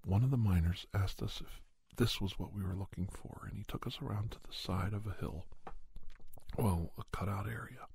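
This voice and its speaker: A man telling a story in ASMR style as an earnest monster investigator, soft and breathy, with halting phrases and frequent pauses. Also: lowish voice, exaggerated voice. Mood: hushed, musing. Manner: hushed, halting, pausing often mid-sentence. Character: Doctor Andrew Michaels, earnest monster investigator recounting a hunt. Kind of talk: ASMR storytelling, told as first-person account.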